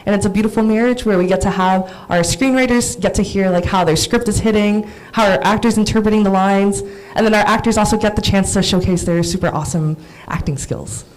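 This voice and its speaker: Host, female, upbeat and chatty, mid pitch, welcoming a live audience. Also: a little fast, hardly any pauses, darkish tone, slight background noise, poor audio. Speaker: event host. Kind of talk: casual welcome speech.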